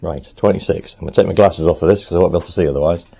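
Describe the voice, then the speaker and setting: A man talking casually to the camera in his home workshop, thinking aloud as he works, a little hoarse. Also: deep voice, very fast, warm tone. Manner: chatty, thinks aloud while working, frequent ums. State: slightly hoarse, clearing throat. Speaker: motorcycle restorer and channel host.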